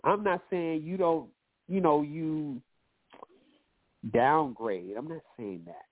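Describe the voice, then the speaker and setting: A man's voice: a little high, takes it slowly. A man hosting a call-in radio show, giving loose, profane relationship advice, a little nasal and wheezy from allergies. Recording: phone-like lo-fi line.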